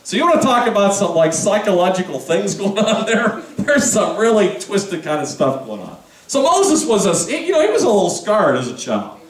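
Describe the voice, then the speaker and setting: A man's voice: high-pitched, very animated, moderate pace, few pauses, echoey room, distant mic, low-quality recording. A man preaching a sermon to his congregation in a chatty, conversational way, with asides and questions to the room. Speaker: pastor. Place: church sanctuary.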